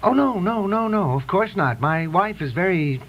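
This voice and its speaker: A man's voice: sits high, animated, normal speed. An actor playing a flustered, old-fashioned married man who hesitates and stammers, in a radio comedy-drama. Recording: muffled, faintly noisy radio recording.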